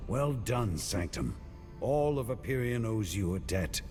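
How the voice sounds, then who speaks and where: An actor playing a grim, determined warrior in a fantasy video game, terse and stern. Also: male voice, lowish voice, expressive, a little slow. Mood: satisfied. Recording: long reverb, loud music. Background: game music.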